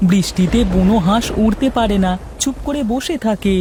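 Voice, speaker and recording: A mischievous village boy from a children's cartoon, acted by a woman, always eager and coaxing. The pitch is medium for a woman's voice. Faint ambience runs behind it. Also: fairly quick, dark tone, slight background noise, lightly acted. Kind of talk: Bengali cartoon voice acting.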